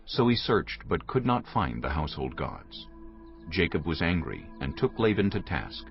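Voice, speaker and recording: Very deep-voiced male scripture narrator. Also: big pitch swings, moderate pace. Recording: dull lo-fi audio with music behind.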